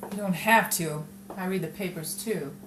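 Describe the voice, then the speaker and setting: A woman's voice: fairly low pitch, moderate pace, lightly acted. A woman playing a tough, defensive nightclub showgirl in a noir radio play, curt and defiant. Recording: echoey, distant, faint room noise.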